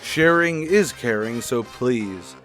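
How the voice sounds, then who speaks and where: A man's voice: medium pitch, lively intonation, slow pace, fairly bright tone, faint music behind. A man reading scripted announcements in the style of a radio show's announcer. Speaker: podcast announcer.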